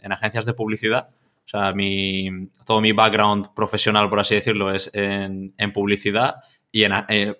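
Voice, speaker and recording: A man from Mallorca speaks with an accent from Spain as a podcast guest. His voice is slightly low yet bright, with little melodic movement. He talks casually and ramblingly, doubling back on words and adding tag questions. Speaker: podcast guest, freelance content creator. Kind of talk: casual conversational interview answers.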